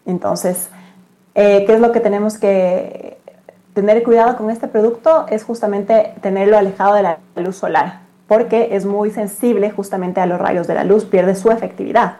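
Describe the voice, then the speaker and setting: Female guest, business representative, soft-edged dark timbre, mid pitch, casual explanatory delivery. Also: fairly even intonation, moderate pace. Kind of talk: casual explanatory interview talk.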